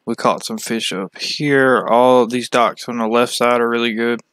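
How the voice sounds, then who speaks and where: Slow-talking man, clear and dry. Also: medium pitch, steady tone, fairly bright tone, dry recording. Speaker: fishing channel host. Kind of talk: casual screen-share map walkthrough.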